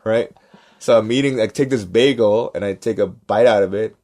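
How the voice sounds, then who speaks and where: A man tells stories in a casual podcast chat. He is animated and often checks in with "right?" He acts out the other people in his stories. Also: lowish voice, moderate pace. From Los Angeles, United States. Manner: animated, acts out quoted voices, repeats "right?". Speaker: podcast cohost, stand-up comedian. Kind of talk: casual storytelling.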